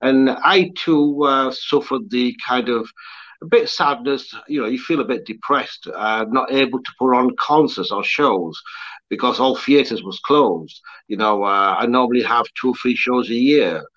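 A man's voice: medium pitch, unhurried, a bit bright, dull audio. A man from Bradford with a Bradford accent touched by Italian, a guest talking casually about his events and shows, rambling and full of fillers and repeated words. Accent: Bradford English with an Italian touch. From Bradford, England. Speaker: interview guest, events organizer and TV presenter. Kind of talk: casual conversational interview.

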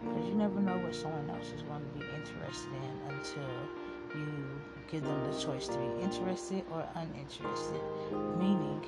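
A woman with a soft, low voice hosts an unscripted solo podcast and talks straight to her listeners. Her chat is loose and rambling, full of slang. Guitar music plays loudly behind her. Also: moderate pace. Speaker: podcast host. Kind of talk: casual solo podcast monologue. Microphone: phone.

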